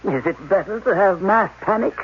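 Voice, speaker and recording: An actor playing an authoritative, evasive general in 1980s radio drama, speaking in a measured, formal, commanding way. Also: male voice, high voice, expressive. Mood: grave, evasive. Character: authoritative alien army general, diplomatic, evasive, weary of questions. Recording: dull, noisy old radio broadcast.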